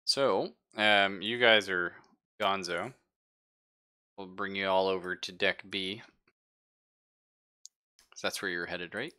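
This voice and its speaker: A man acting as game master, narrating casually and haltingly as he thinks aloud. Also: sits low, steady tone, sharp tone, smooth. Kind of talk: casual narration of a tabletop roleplaying game, live stream.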